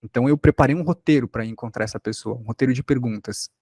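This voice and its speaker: A man's voice: medium pitch, quick pace, darkish tone, breathy edge, no echo. A Brazilian man giving an informal lesson as a workshop instructor, explaining by example with rhetorical questions and check-ins.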